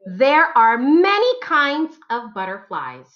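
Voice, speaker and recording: High-pitched woman reading slowly to children. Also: sing-song, dull audio, a little hiss or hum. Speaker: teacher reading picture books aloud. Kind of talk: slow, expressive read-aloud for children.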